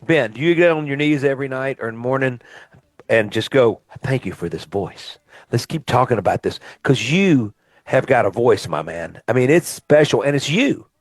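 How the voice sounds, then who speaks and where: A man's voice: medium pitch, lively intonation, moderate pace, no echo, studio quality. A middle-aged man from Mississippi, hosting a casual radio interview and chatting eagerly with plenty of "uh" fillers. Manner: enthusiastic, chatty, frequent "uh" fillers. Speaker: radio show host and singer-songwriter.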